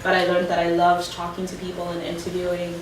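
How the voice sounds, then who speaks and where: A woman's voice: on the low side, completely flat delivery, fast. A woman on a career panel who gives casual, chatty advice with many fillers. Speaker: alumna panelist. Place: lecture room. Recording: echoey room, distant mic, background noise.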